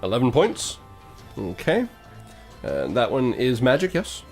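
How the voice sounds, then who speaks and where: A man's voice: medium pitch, huge pitch swings, a little slow, a little mellow. Man game-mastering a tabletop game over music.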